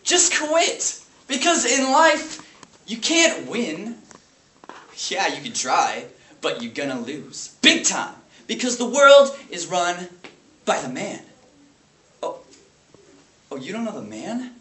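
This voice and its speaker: An angry, defeated substitute teacher played by a man on stage rants at children with biting sarcasm. The voice is highish, forceful and very bright, with explosive emphasis. It rings in an echoey room with faint noise. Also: big pitch swings, a little slow, exaggerated voice. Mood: ranting. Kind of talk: theatrical audition monologue.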